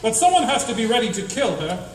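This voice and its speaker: High-pitched pompous hero in echoey hall. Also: male voice, very animated, fast talker. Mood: grandly resigned. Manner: pompous, theatrical declaiming. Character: grandiose self-styled hero, cheerfully fatalistic male adventurer. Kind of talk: comic radio drama acting. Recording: echoey hall stage, distant, faint noise.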